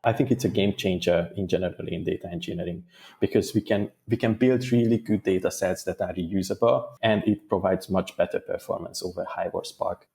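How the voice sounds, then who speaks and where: Low-voiced man. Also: creaky, dark tone, slight background noise. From Hungary. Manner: thoughtful, measured, with small restarts. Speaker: guest data engineer. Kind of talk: interview answers.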